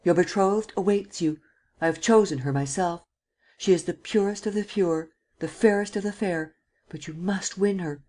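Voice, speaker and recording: Woman voicing a forceful, imperious nobleman. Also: fairly low pitch, normal speed, voice pushed up, close to an everyday voice. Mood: quiet, assured. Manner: imperious, vehement. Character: commanding ruthless male nobleman, forceful.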